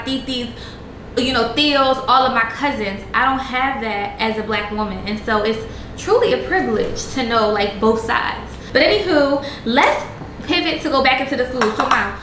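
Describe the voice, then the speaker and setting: A young woman chatting casually with her audience while cooking in a home kitchen, animated and slangy. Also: on the high side, normal speed, noisy background. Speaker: vlogger. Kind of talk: casual chat. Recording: roomy kitchen with music.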